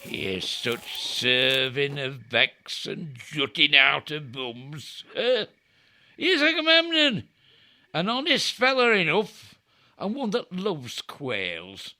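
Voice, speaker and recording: An actor playing a scurrilous, jeering railer in a Shakespearean audio drama, mocking and theatrically declamatory. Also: male voice, a little high, big pitch swings, slow, sharp tone, exaggerated voice. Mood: sardonic. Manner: mocking, declamatory, erratic delivery. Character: scurrilous, jeering railer in a Greek war camp.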